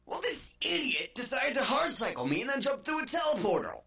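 A woman's voice: sits high, very animated, fairly quick, creaky, fairly bright tone, full character voice. A woman voicing an irritated female artificial intelligence in a machinima, with a doubled synthetic effect. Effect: doubled, synthy computer-like filter. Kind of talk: scripted machinima voice acting.

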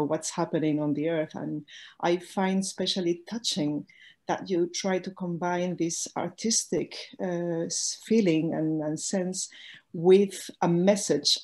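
A woman with a Spanish accent and a fairly low voice speaks casually on a video call. She pauses often and searches for her words. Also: darkish tone. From Spain. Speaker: audience member at an art talk. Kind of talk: casual spoken comment in a Q&A.

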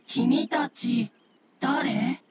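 A woman voicing a childlike, simple fungus creature in a video game, halting and speaking in single words as if learning to talk. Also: sits high, very noisy, exaggerated voice. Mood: apologetic, effortful. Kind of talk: scripted game dialogue.

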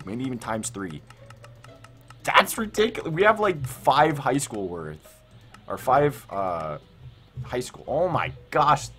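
A man's voice: medium pitch, a bit bright. A teenage male with a Canadian accent gives casual, rambling gameplay commentary, repeating himself for emphasis. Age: teens. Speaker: gaming YouTuber.

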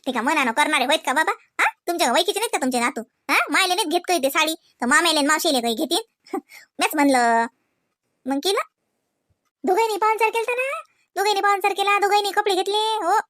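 High-voiced chatty village daughter played by a woman. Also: a little fast, fairly bright tone, no echo, clean studio sound. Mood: chatty, easygoing. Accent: Varhadi Marathi, Vidarbha. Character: chatty married village daughter visiting her family. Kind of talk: rural comedy cartoon dialogue.

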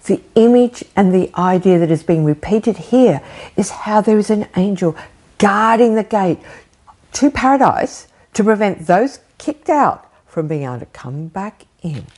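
A woman's voice: mid-range voice, unhurried. An older woman preaching a sermon from an armchair at home, talking with her hands and asking her listeners questions. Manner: animated, gesturing, rhetorical questions. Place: living room with bookshelf, indoors. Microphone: fixed camera framing, seated.

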